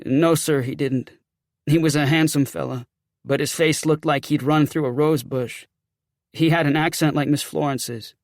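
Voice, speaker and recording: A man's voice: fairly high pitch, fairly even intonation, moderate pace, dry recording, studio quality, close to an everyday voice. A man voicing a scared young Confederate sergeant in an audiobook, with folksy, ungrammatical, blurting speech. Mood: nervous, deferential. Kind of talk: audiobook character acting.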